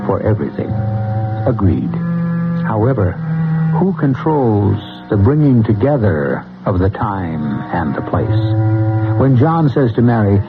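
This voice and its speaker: A man's voice: lowish voice, big pitch swings, narrow, tinny audio. Wry male radio mystery host over music. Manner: measured, philosophical, wry, formal. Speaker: host narrator. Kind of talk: theatrical radio mystery narration. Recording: old radio broadcast, loud music behind.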